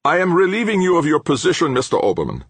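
A man's voice: fairly high pitch, very animated, a little fast, breathy edge, no echo, pitched above the performer's own voice, full character voice. A man voicing an icy, indignant professor in an audiobook, with clipped, formal and imperious delivery. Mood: deadly angry.

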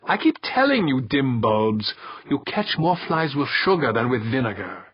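A man's voice: mid-range voice, animated, a little slow, breathy edge, dry recording, lo-fi sound. A man voicing a domineering space-pirate skipper in an audiobook, with a scornful, commanding grumble. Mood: insistent, sarcastic.

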